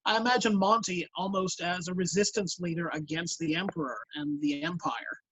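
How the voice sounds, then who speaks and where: A man's voice: sits high, steady tone, moderate pace, fairly bright tone, husky, no echo. A man chatting casually as a voice actor on a panel, telling stories. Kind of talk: casual chat, telling anecdotes. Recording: dull, lo-fi call audio.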